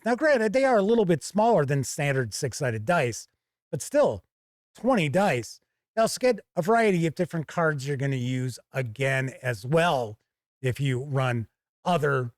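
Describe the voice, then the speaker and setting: A man hosting a game review, explaining things in a conversational, measured, unscripted way as he talks to camera. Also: on the high side, no echo, clean studio sound. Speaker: board and roleplaying game reviewer, show host.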